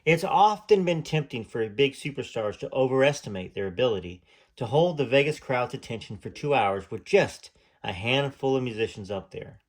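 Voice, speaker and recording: A man's voice: medium pitch, lively intonation, moderate pace. A man reading aloud from a memoir in an earnest tone, stopping now and then for chatty, hesitant asides. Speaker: channel host reading from a memoir.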